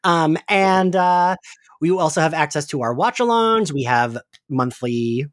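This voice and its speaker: A man hosting a podcast in casual chat, with an animated, self-deprecating manner. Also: fairly high pitch, measured pace, no echo, clean studio sound. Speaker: podcast host.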